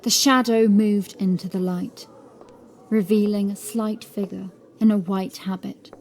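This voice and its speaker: A woman's voice: medium pitch, fairly even intonation, measured pace, darkish tone, a little hiss or hum. A woman reading scripted horror fiction as an audiobook narrator.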